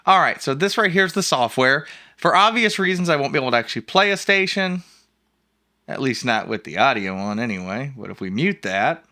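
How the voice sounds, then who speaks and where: A man hosting an informal, jokey, rambling software walkthrough in a casual screencast style. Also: mid-range voice, very animated, fairly quick. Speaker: host of a software review show.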